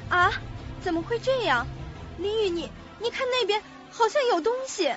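A woman's voice: very high-pitched, very animated, moderate pace, music in the background. An actress playing a frightened, timid young woman in an audio drama, on a lo-fi recording. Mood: anxious. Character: frightened, timid young woman visiting her father's grave.

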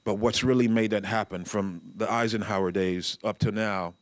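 A man's voice: lowish voice, steady tone, moderate pace, no room sound. A middle-aged man from Nigeria giving a conversational keynote speech in a conference room on a handheld mic, with many fillers, restarts and joking asides. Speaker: keynote speaker, state transportation official. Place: large conference room with seated audience. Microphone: handheld microphone.

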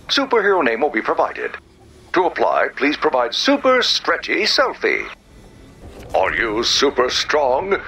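An actor playing an eager robot who talks like a grandiose, theatrical movie-trailer announcer in a game. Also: male voice, on the high side, sharp tone, slightly rough, exaggerated voice.

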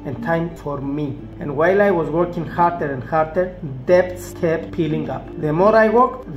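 A man in his forties from Cyprus with a Cypriot Greek accent and Greek as his first language, giving an earnest, measured scripted sales pitch to camera from a small office. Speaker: wellness consultant pitching an online course. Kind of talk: scripted promotional monologue to camera. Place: small indoor office, seated at a desk. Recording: roomy office with faint background music.

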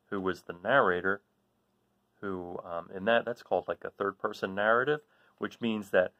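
A man's voice: lowish voice, a bit bright, no room sound. A man in his forties explaining a children's book as a read-aloud host, often correcting himself. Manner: halting, self-correcting. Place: small room at home, indoors. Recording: dull lo-fi device microphone.

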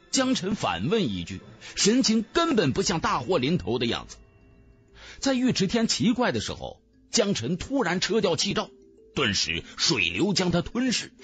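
A male audiobook narrator reads fantasy fiction dramatically. His voice sits a little high and moves with great range in a theatrical delivery. Faint music plays behind him. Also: fairly bright tone, husky.

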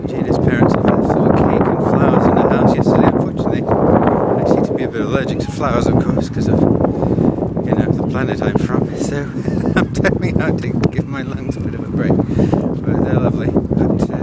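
A man's voice: mid-range voice, fairly even intonation, moderate pace. A man vlogging his own wedding day with a phone at arm's length, chatty and rambling, his voice a bit worn. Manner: rambling and chatty, many fillers. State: losing his voice. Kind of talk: casual handheld vlog. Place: park outdoors, later busy restaurant. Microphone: phone camera at arm's length. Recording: loud background noise and crowd.

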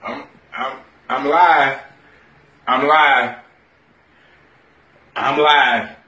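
Slow, echoey man announcing. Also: mid-range voice, steady tone, pushed and bright. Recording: echoey, roomy clip.

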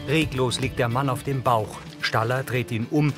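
Male audio-description narrator for crime drama, measured neutral read, clear music bed. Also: medium pitch, fairly even intonation. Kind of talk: scripted narration of a TV crime series.

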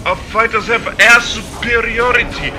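A man's voice: fairly even intonation, measured pace. An actor playing a dutiful, formal male military commander in a sci-fi audio drama. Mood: grave, reporting. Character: dutiful male fleet commander reporting to his lord. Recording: loud starship rumble behind.

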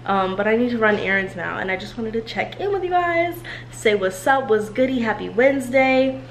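A woman's voice: a little high, lively intonation, normal speed, rough recording. A woman in her twenties chatting casually to a handheld camera in her apartment, upbeat and slangy, laughing often. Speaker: vlogger. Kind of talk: casual vlog chat to camera. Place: apartment at home, indoors. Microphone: handheld camera at arm's length.

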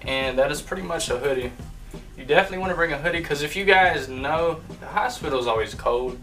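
A young man co-hosting a casual couple's vlog from a bedroom, chatting to viewers in a relaxed, laid-back way. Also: on the high side, fast, distant mic, soft background music, poor audio. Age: young adult. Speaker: vlog co-host. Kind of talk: casual chat. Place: bedroom, indoors.

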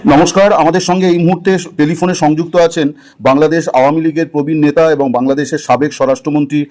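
একটু উঁচু গলার পুরুষ সাক্ষাৎকারগ্রাহক. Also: male voice, fairly high pitch, steady tone, quick pace, a little mellow. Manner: probing, pressing. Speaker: interviewer. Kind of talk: news phone interview.

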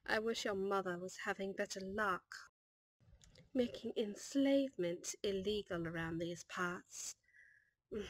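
A woman's voice: medium pitch, dry recording. A woman voicing a kindly, fussy older female servant who gushes and teases in an ASMR audio roleplay. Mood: disapproving. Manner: gushing, motherly teasing, exclamatory.

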